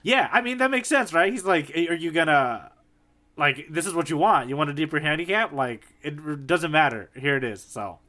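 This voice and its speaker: A man with a slightly high, bright and forceful voice in a casual podcast chat. He co-hosts conversationally, paraphrasing in an animated way as he explains his points. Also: normal speed. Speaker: podcast co-host. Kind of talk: casual chat, manga discussion.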